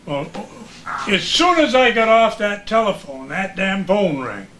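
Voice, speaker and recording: High, bright-voiced older man spinning yarns. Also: measured pace. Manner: animated storyteller acting out remembered exchanges. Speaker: retired radio broadcaster recalling his career. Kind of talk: casual anecdotal reminiscing.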